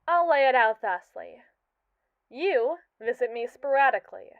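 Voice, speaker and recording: A cold, precise android with a secret obsession, voiced by a woman in an ASMR roleplay. The voice is smooth and somewhat high, with formal, condescending phrasing. The recording is dry and tinny, as if heard over a phone line. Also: animated, a little slow, dry recording. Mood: obsessive.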